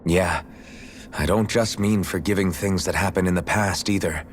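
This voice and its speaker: An actor playing an earnest, idealistic young hero in a video game, speaking sincerely and plainly. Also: male voice, low-pitched, steady tone, moderate pace, slight background noise, lightly acted. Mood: earnest, hopeful. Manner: sincere, plain-spoken, informal. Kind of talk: dramatic video game cutscene acting. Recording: music playing behind the voice.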